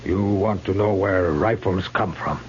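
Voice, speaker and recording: Deep-voiced, solemn old chief. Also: male voice, darkish tone. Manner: measured, solemn, terse phrasing. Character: old Sioux chief, grave, weary and wise. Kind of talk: 1950s radio drama acting. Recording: muffled, hissy 1950s radio recording.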